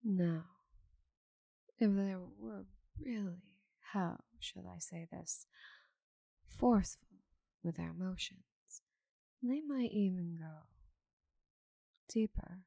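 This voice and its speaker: A woman playing a giant female orc knight in an intimate ASMR roleplay. She is lowish and breathy, taking her time with every phrase, confident and teasing with a tender, easily flustered side. The sound is close and dry. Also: no echo, full character voice. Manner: slow, soft, intimate delivery with breathy murmurs.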